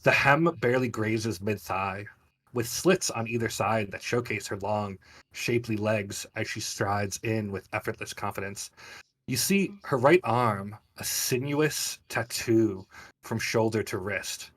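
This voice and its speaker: A man's voice: on the low side, a little slow, a bit bright. A man narrating a tabletop fantasy game as game master, describing scenes for his players.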